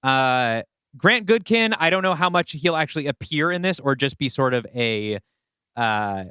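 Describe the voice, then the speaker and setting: A man's voice: medium pitch, lively intonation, moderate pace, sharp tone, smooth, no room sound, clean studio sound. A man co-hosting a podcast, talking through story plans in a casual, explanatory, conversational way. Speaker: podcast co-host. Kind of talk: casual story-planning discussion.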